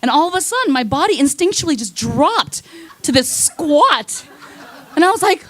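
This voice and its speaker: A woman's voice: high voice, very animated, moderate pace. A young woman performing a stand-up set in a comedy club, rambling, swearing casually and laughing at her own slips. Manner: rambling, self-deprecating, loses her place and laughs at herself.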